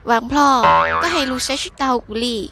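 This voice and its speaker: A woman's voice: fairly quick, over loud music, close to an everyday voice. A woman playing a young woman in love in a cartoon romance, speaking in a conversational way. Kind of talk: amateur animated cartoon dialogue.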